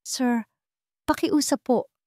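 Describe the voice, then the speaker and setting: A woman's voice: high-pitched, slow, darkish tone, dry recording, clean studio sound, pitched above the performer's own voice. A woman voicing a frightened young waitress in a radio-style drama, stammering and apologizing politely. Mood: pleading.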